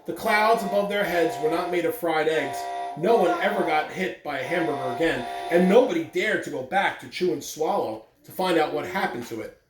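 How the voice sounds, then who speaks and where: A man reading aloud to children in an expressive storytelling style, with deliberate emphasis on key words. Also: fairly high pitch, over music. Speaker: school staff member reading aloud on morning announcements. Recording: roomy echo, distant mic, faint background noise.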